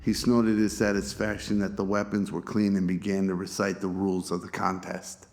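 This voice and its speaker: Man, low voice, level intonation, scripted radio drama narration, soft music bed. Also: completely flat delivery, moderate pace.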